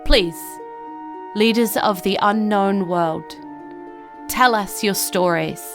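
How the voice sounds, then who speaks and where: A woman's voice: medium pitch, slow pace, fairly bright tone, faint music behind. A woman playing a stoic male elf council member who speaks with formal courtesy. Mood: courteous, stoic.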